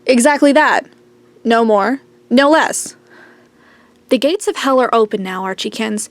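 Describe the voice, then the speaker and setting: A woman plays an imperious teenage girl in a horror radio drama. Her voice is fairly high, cool and haughty, faintly teasing, in a bone-dry recording. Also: expressive, unhurried, dry recording. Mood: cold, haughty. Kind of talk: audio drama acting.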